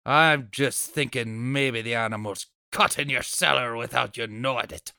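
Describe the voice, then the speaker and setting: A man's voice: mid-range voice, moderate pace, vocal fry, bright, forceful tone, clear, resonant tone, dry recording, studio quality. A man playing a nosy, chatty, stubborn off-duty policeman neighbor in a table read of an old radio horror play, with folksy, blustery speech. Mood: indignant, insistent.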